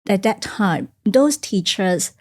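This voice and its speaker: A woman from China whose first language is Teochew, speaking softly and reflectively as a guest in a studio podcast interview. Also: medium pitch, animated, takes it slowly. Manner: soft-spoken and reflective, simple phrasing with small grammar slips. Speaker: guest, career coach. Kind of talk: podcast interview, personal storytelling.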